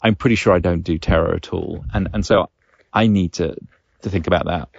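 Deep gravelly man musing, roomy recording. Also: dull audio. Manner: hesitant, thinking aloud in halting phrases. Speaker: podcast host and game master. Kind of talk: reflective solo podcast monologue, casual.

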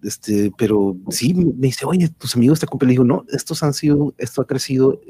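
A man's voice: medium pitch, expressive, normal speed, warm tone, slightly rough. A man with a Mexican accent hosting a casual family chat on a livestream, telling anecdotes in a chuckling, conversational way. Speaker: host.

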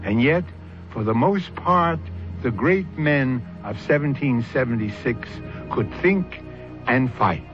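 Casting: male radio drama host, lively rise and fall, deliberate theatrical narration, music behind, slightly dull old recording. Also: medium pitch.

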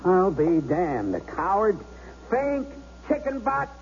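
An actor playing a jittery, hot-tempered small-time bank robber with rough, slangy street talk in a 1970s radio drama. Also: male voice, sits high, sing-song, slow pace, heavily acted. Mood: disgusted, bitter. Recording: muffled, noisy old radio broadcast.

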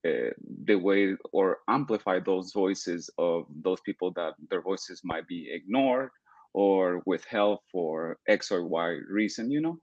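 A young man from El Salvador, speaking as a guest in an interview. He talks earnestly and deliberately, with many "uh" and "you know" fillers. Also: low voice, expressive, no echo, lo-fi sound. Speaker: guest, political activist. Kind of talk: podcast interview.

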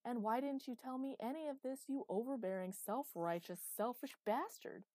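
A woman's voice: mid-range voice, expressive, brisk, warm tone, dry recording, voice pushed up, natural voice. A woman voicing a stubborn, sarcastic mortal woman in her twenties, indignant and emphatic, in a dramatized story. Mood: indignant, accusing.

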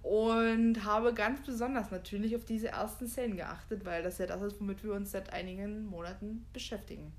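A woman's voice: mid-range voice, steady tone, normal speed, hardly any pauses, a little hiss or hum. A woman co-hosting a podcast, chatting casually and thinking aloud with loose asides. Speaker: podcast co-host. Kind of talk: casual chat, discussing a book and film.

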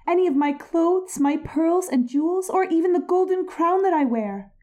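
A man voicing a spoiled young princess in a fairy tale reading, in a high girlish voice. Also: normal speed, mellow. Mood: pleading. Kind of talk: storybook reading.

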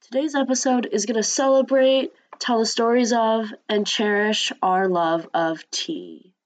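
A woman co-hosting a podcast, speaking softly and without hurry. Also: on the high side, steady tone, measured pace, rough recording. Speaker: podcast co-host. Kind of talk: casual podcast intro.

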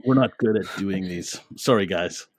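A man's voice: deep voice, moderate pace, slightly rough, no echo. A man hosting a podcast in casual, jokey chat, laughing often and tripping over his words. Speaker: podcast host.

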